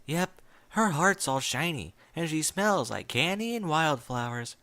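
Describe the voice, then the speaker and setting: A man voicing a sweet, eerily innocent little filly, in a soft childlike voice. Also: on the high side, expressive, unhurried, exaggerated voice.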